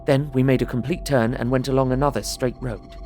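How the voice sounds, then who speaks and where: An actor playing a young, earnest traveller, narrating his diary in a measured, uneasy way. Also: mid-range voice, steady tone, a little mellow, faint music behind, close to an everyday voice. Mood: apprehensive. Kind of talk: dramatised first-person diary narration.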